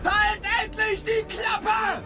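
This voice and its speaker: An actress in a German radio play voicing an exasperated, foul-mouthed astronaut in training who snaps and shouts. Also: female voice, very high-pitched, flat delivery, takes it slowly, over music. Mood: furious. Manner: shouting, snapping, coarse.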